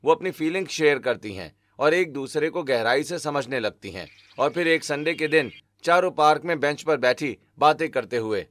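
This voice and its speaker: A man's voice: fairly high pitch, expressive, moderate pace, few pauses, fairly bright tone. A man narrating a scripted family moral story as a storyteller.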